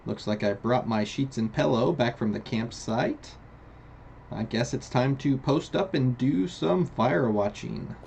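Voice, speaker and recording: A man giving relaxed commentary as he plays a video game, with game sound behind him. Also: medium pitch, a little slow, vocal fry, slight background noise. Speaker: video game let's player. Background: video game ambience.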